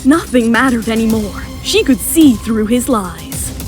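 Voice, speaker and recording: High-pitched woman narrating a drama. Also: animated, measured pace. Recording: music behind the voice.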